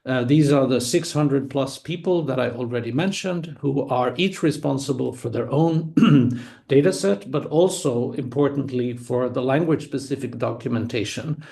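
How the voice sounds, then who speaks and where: A man whose first language is Swedish, giving an academic conference talk online in a measured, explanatory way with small restarts. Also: medium pitch, gravelly, dark tone. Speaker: conference speaker.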